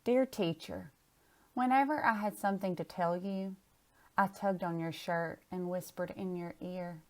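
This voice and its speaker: A woman's voice: lowish voice, a little slow, darkish tone. A woman chatting casually and reading a picture book aloud. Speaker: storybook reader.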